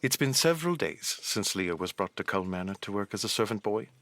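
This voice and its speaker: A man's voice: lowish voice, lively intonation, brisk, fairly bright tone. A man narrating a pirate adventure audio drama in a scripted, dramatic storytelling style.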